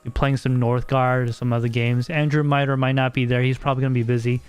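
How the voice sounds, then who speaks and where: A man's voice: medium pitch, flat delivery, fast talker, smooth, soft background music. An American man hosting a casual podcast livestream, chatting with viewers and reading their messages, often repeating words as he thinks aloud. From United States.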